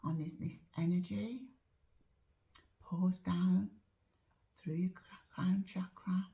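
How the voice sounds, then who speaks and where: A woman guiding a meditation in a soft, often whispered voice, slow and drawn-out, a little chesty as she is losing her voice. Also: fairly low pitch, fairly even intonation, creaky. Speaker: meditation teacher. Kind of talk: guided meditation.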